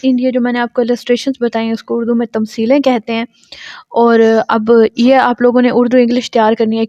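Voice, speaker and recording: A woman's voice: on the high side, dark tone. A woman with a Pakistani accent lecturing on law, reading out legal text and explaining it didactically, repeating and rephrasing points. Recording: dull lo-fi sound, roomy echo.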